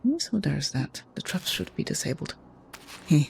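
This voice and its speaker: A woman with a hoarse, low voice, muttering and chuckling to herself as she comments on a video game. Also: big pitch swings, moderate pace, a little mellow, slight background noise. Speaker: streamer. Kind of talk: casual gameplay commentary.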